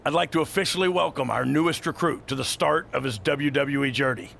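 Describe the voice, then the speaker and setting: Brisk wrestling coach in a game, gravelly, no nonsense, some noise behind. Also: male voice, a little high, a bit bright. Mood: brisk, official. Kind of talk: game cutscene dialogue.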